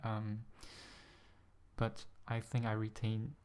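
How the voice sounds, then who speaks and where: A young man from Singapore with a Singaporean-tinged English accent, talking to camera in a casual vlog, hesitant and a bit nervous, pronouncing words with deliberate care. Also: fairly low pitch, monotone, darkish tone, clean tone. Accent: Singaporean-influenced English, carefully pronounced. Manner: hesitant, many ums, a little nervous; careful, deliberate pronunciation. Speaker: ASMR channel host. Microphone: USB desk microphone.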